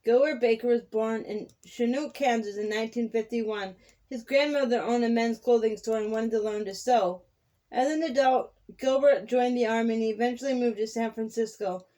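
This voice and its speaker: High-voiced woman hosting children's storytime. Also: moderate pace, mellow, rough recording.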